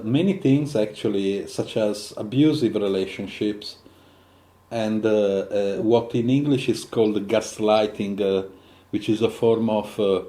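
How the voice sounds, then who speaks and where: A man's voice: lowish voice, dark tone, reverberant. A man with an Italian accent hosting a podcast interview, hesitant with many filler sounds. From Italy. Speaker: podcast host. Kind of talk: interview introduction.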